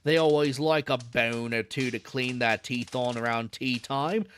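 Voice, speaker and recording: A man reading aloud and voicing a gruff old male zookeeper, grumbling in a rough dialect with dropped h's. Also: medium pitch, unhurried, fairly bright tone, a little hiss or hum, close to an everyday voice.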